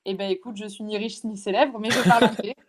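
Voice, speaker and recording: A woman's voice: medium pitch, fairly even intonation, fast talker. A woman chatting casually as a guest on a podcast. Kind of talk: casual chat. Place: remote recording from own location.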